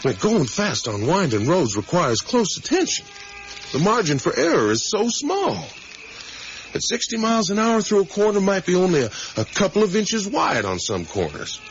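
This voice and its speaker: An eager, intellectual middle-aged father in a 1980s radio play. He muses at length and stresses his points, with a widely swinging pitch. The recording is dull, with faint noise. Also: huge pitch swings, normal speed, full character voice. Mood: musing, animated. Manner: rambling, ruminative, emphatic. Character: musing, eager academic father on a motorcycle trip with his son. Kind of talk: 1980s radio drama acting, naturalistic.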